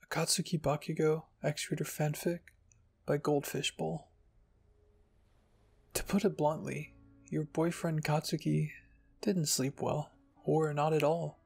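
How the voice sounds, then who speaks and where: Husky, even-toned male ASMR narrator. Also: on the high side, unhurried, pauses often, faint music behind. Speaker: narrator and channel host. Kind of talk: ASMR fan fiction reading.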